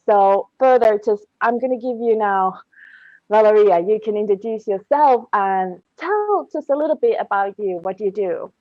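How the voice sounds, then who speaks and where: A woman's voice: mid-range voice, expressive, normal speed, no echo, highs cut off. A woman hosting a casual podcast interview, speaking in an enthusiastic, rambling way. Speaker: podcast host.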